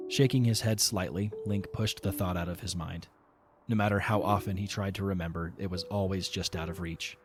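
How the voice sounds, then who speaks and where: A man giving scripted narration for a fan audio drama, in a measured, even storytelling manner. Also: deep voice.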